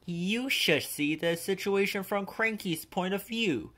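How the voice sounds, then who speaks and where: A man's voice: sits high, unhurried, sharp tone, voice pushed up. A man voicing a teasing steam engine in a children's storybook, explaining things emphatically.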